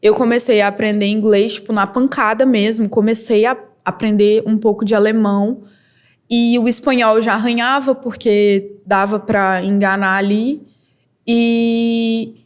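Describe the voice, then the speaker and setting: A young woman from Brazil, a model and entrepreneur, telling her story as a podcast guest in a candid, lively way with quick bursts and laughs. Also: fairly high pitch. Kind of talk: casual interview storytelling.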